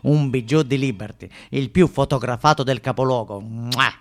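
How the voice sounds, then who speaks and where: A man's voice: mid-range voice, moderate pace, close to an everyday voice. A male actor plays an aggrieved Sicilian man in a stage monologue. He tells his tale with florid, wry drama and acts out noises and dialogue as he goes. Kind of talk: theatrical monologue, storytelling on stage.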